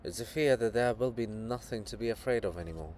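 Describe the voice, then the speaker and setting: A man voicing a troubled princess monument, soft, grave and earnest, in a dramatic reading. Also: lowish voice, fast talker, slight background noise, pitched below the performer's own voice, close to an everyday voice. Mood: afraid, grave.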